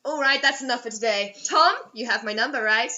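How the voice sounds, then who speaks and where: A woman voicing a young female student film director, brisk and businesslike, in a comic story reading. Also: on the high side, bright, forceful tone, lo-fi sound.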